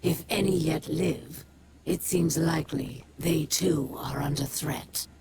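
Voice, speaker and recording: A proud, embittered female alien captive from a video game. Her low voice moves slowly and formally, often breathy at the edges, over loud ambient noise. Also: exaggerated voice. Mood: grudging resolve. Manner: measured, formal, deliberate, with whispered edges. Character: proud, bitter imprisoned female alien warrior. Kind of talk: dramatic video game dialogue.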